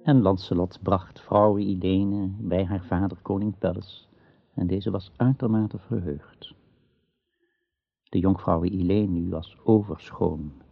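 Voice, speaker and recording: A man's voice: deep voice, gravelly, slightly rough, no room sound. A man narrating a radio drama as a storyteller, in a formal, old-fashioned tale-telling style. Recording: dull, muffled radio play recording.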